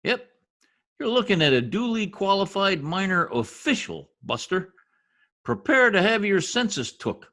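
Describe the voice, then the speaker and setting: A man's voice: sits high, big pitch swings, a little slow, bright, forceful tone, exaggerated voice. A man acting in an old-time radio comedy as a needling, boastful husband with folksy, mangled grammar and a teasing manner. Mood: smug, gloating. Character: gleeful needling blowhard husband, bungling new census taker. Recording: remote video-call radio play recording.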